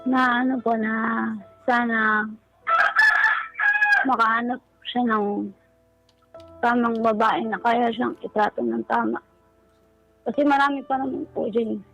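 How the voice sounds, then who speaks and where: A woman's voice: a little high, steady tone, a little slow, vocal fry, long pauses, a bit bright. A woman with a Filipino accent calling in to a radio show, speaking politely and hesitantly. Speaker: caller. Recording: dull lo-fi call audio. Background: faint music.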